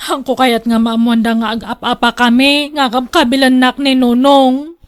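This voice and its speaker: A woman's voice: sits high, little pitch movement, moderate pace, close to an everyday voice. A woman playing a distressed, long-suffering young wife in a radio drama, with a plaintive delivery. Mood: embarrassed, worried.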